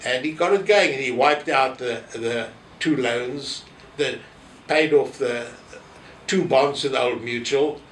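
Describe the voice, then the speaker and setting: An elderly South African man reminisces in an interview, telling anecdotes and acting out remarks. His medium-pitched voice comes from a little way off in an echoey room, with faint noise behind it. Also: measured pace, a bit bright. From South Africa, family from Poland. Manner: anecdotal, re-enacting quoted remarks. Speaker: interviewee, former synagogue office holder. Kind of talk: reminiscing in an oral history interview. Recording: roomy echo, distant mic, faint noise.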